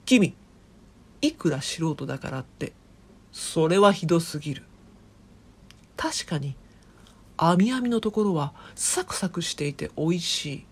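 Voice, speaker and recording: Slow, low-pitched eccentric professor, acted by a woman. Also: expressive, husky, exaggerated voice. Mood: indignant. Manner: pompous, theatrical, old-fashioned formal speech. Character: eccentric, excitable professor obsessed with a phantom bird. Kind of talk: comedic audio drama acting.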